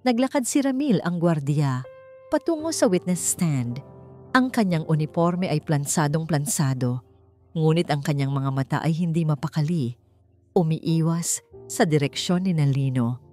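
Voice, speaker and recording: A Filipino woman narrates a dramatic story with measured suspense. Her voice sits a little low for a woman. Soft music plays behind her scripted delivery. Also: animated. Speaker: audiobook narrator. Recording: voice over background music.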